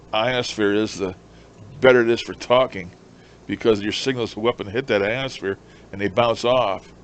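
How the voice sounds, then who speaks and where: A man gives a casual, unscripted demonstration as a hobbyist, explaining things and repeating himself. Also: fairly low pitch. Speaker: amateur radio hobbyist, presenter. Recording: clear steady background noise.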